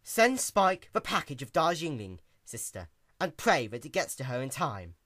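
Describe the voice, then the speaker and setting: A performer voicing a regal female pony, a grave and weary sister with measured, formal speech, in a storybook reading. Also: mid-range voice, very animated, sharp tone, no room sound, clean studio sound. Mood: grave concern.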